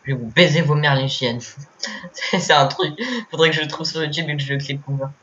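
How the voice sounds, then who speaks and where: A young man who has a cold, joking around excitedly while playing an online game and stumbling over his words. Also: fairly high pitch, a little fast. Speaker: gamer and channel host. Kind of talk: casual gaming chat. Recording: slightly muffled home mic with faint hiss.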